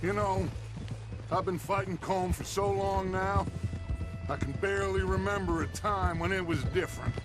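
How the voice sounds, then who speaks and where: A man's voice: sits high, steady tone, moderate pace, lightly acted. A man playing an eloquent, idealistic middle-aged American outlaw gang leader in a video game, with a grandiloquent, persuasive delivery. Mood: brooding, resolute. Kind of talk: dramatic video game acting. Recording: loud music and horse hooves behind.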